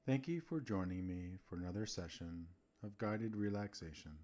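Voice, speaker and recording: A man narrating a scripted guided relaxation as a meditation host, soothing, with gentle pauses between phrases. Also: lowish voice, animated.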